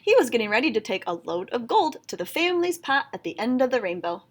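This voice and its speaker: A woman reading a children's picture book aloud in an expressive storytelling style. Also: a little high, fast.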